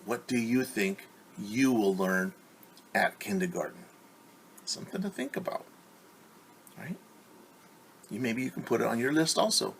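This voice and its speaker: A man with a mid-pitched voice leads a children's story time at a very unhurried pace. He speaks simply and encouragingly, turning to his young listeners with questions. There is faint noise in the recording. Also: big pitch swings, gravelly, a bit bright. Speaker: teacher reading a picture book to young children.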